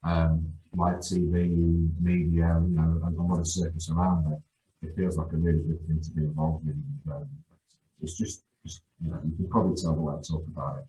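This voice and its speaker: A middle-aged man with a very low voice speaks as a guest on a casual podcast. He is enthusiastic and keeps repeating and restarting his words. His voice comes across with a noticeable room echo. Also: little pitch movement, a little fast, mellow. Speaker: guest, youth football coach. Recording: echoey room.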